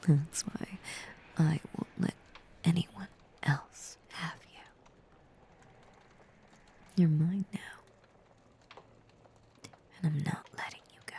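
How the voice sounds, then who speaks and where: A woman playing a possessive, obsessive female werewolf in an intimate audio roleplay, half-whispering with slow, seductive menace. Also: sits low, steady tone, warm tone. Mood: intense, possessive. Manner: slow, lingering, seductive delivery.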